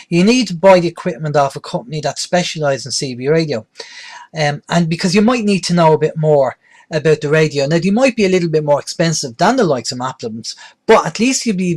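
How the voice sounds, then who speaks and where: A man presenting an instructional tutorial in a plain-spoken, explanatory way. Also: on the high side. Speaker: presenter, radio tutorial host.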